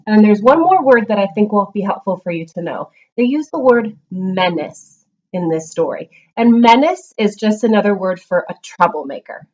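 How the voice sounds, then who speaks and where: A woman teacher giving a read-aloud lesson to young children, explaining simply and reading with expressive emphasis. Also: medium pitch, dull audio. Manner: instructional, simple explanations with check-ins. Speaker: primary school reading teacher.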